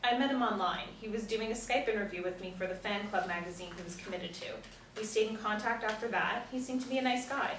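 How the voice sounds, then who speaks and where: A defiant, guarded female TV star being interrogated in a drama , read by a woman at a table read. She speaks quickly at a middling pitch, in an echoey room. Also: fairly bright tone, natural voice. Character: defiant, guarded famous actress under interrogation. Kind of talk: table read of a TV pilot script. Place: small meeting room, seated in a row. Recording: echoey room, distant mic, faint noise.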